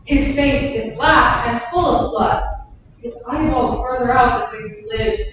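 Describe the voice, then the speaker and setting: A stern nobleman lays out his accusation, read by a woman in a staged reading. The voice is high and firm. It is heard from afar, with a hall echo and faint hiss. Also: unhurried. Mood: accusing. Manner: forceful, accusing. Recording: echoey hall, distant mic.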